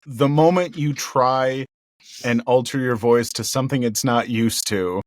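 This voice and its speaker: A cheerful, excitable man talking about his voice work in a relaxed interview. His voice sits at a middling pitch with a gravelly edge. The sound is dry and close, with no room around it. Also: unhurried, dry recording. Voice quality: speaks in a raised register with a gravelly undertone. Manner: cheerful and excitable, laughs often. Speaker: voice actor guest. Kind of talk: casual interview chat. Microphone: headphones and a home recording mic.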